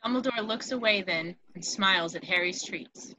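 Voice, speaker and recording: A woman reads screenplay directions as narrator. Her voice is bright and clear-cut, at a medium pitch. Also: normal speed, no echo, low-quality recording. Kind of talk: scripted narration of stage directions.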